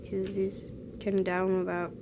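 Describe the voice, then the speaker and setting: A woman's voice: mid-range voice, completely flat delivery, a little slow, background noise. A young woman hosting a let's play, chatting casually and reading game text aloud. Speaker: gaming video host. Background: clear game music.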